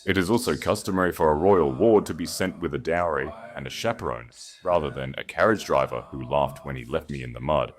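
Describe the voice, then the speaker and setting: A man's voice: very deep voice, a little fast, few pauses. A man voicing a proud, composed young princess in an audiobook, formal and precise. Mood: cool defiance. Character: coolly defiant young princess of twenty-one, proud, composed. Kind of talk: audiobook character dialogue.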